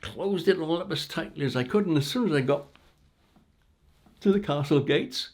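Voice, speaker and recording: A man telling a folk tale aloud in an informal, theatrical way with dramatic pauses. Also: fairly high pitch, expressive, darkish tone. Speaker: storyteller. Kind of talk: animated oral folk-tale storytelling.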